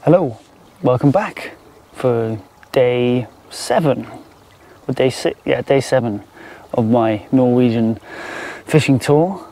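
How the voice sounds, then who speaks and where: A man's voice: medium pitch, slow, mellow. A man presenting his fishing trip in casual, unscripted talk to camera, outdoors by the water. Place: outdoors by a fjord shore.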